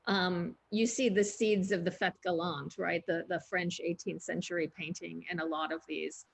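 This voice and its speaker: A woman's voice: fairly low pitch, fairly even intonation, normal speed, gravelly, slight background noise. A woman co-hosting a museum talk over a video call, explaining art techniques in a chatty, thinking-aloud way. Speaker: museum co-host. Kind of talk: conversational art discussion.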